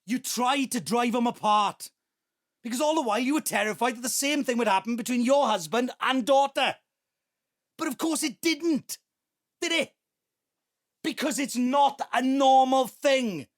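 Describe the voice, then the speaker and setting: An aggrieved adult son in an audio drama. His voice is pushed high, agitated and full of accusation. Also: moderate pace. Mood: angry, accusing. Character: angry, accusing adult son with an axe to grind.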